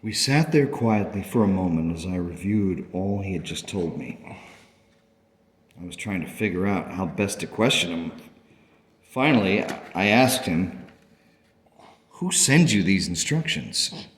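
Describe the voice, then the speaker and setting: A man playing a puzzled, subdued father who narrates a radio play in a measured, thoughtful way. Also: deep voice, expressive. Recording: echoey hall-like reverb.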